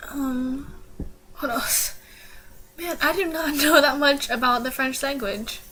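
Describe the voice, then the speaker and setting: A teenage girl vlogging casually, giggling awkwardly and filling pauses with lots of ums. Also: high voice, steady tone, background noise. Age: teens. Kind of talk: casual informative vlog.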